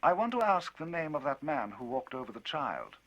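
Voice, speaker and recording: A man reading a grave, measured lawyer. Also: on the high side, very animated, fairly bright tone, highs cut off, voice pushed up. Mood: probing.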